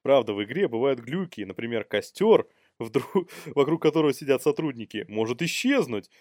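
A man's voice: mid-range voice, lively intonation, normal speed, a little mellow, no echo, clean studio sound. A man co-hosting a news commentary show, reading stories in an informal, amused way.

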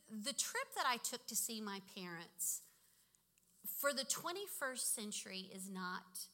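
A woman's voice: fairly high pitch, bright, forceful tone. A woman in her fifties sharing a personal faith story in a chatty, laughing way, often correcting herself. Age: 50s. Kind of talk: casual testimony talk.

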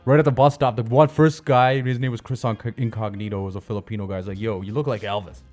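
A man's voice: mid-range voice, steady tone, fast talker, darkish tone. A man with a Canadian accent telling personal stories in casual, slangy conversation as an interview guest. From Brampton, Canada. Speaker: comedian and chef, interview guest. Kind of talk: casual chat, personal storytelling.

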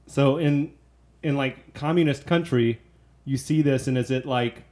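A man's voice: medium pitch, a little slow, darkish tone. A man speaking as a podcast co-host, with casual, conversational commentary on films. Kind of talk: casual chat, film commentary.

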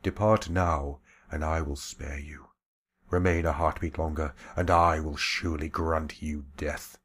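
A man voicing a calm male warrior who speaks quietly and with measured menace. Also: extremely low pitch, slightly rough, heavily acted. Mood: menacing.

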